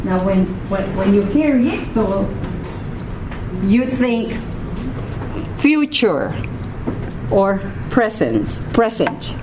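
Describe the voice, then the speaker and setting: A woman's voice: medium pitch, sing-song, drawn-out words, long pauses. A woman with a Filipino accent teaching a grammar class, patient and repeating key words, often checking in with "okay?". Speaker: Hebrew teacher. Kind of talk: classroom lecture. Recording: noisy room recording.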